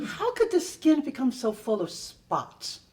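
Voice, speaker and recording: A woman giving a deliberate, theatrical poetry reading with pauses, at a live event. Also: high voice, huge pitch swings, mellow, distant mic. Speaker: performer reading own poetry. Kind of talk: dramatic poetry reading to an audience.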